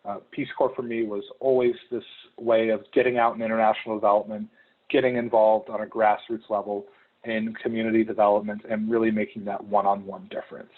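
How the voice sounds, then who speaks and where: A young man from Ohio giving a relaxed, conversational presentation about his volunteer work, with frequent ums. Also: fairly low pitch. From Ohio, United States. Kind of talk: information session talk. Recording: phone-like narrow sound.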